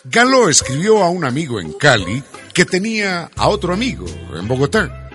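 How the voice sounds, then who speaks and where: A man's voice: a little high, huge pitch swings, moderate pace, a bit bright, soft background music. A man narrating a scripted radio drama in a storytelling manner.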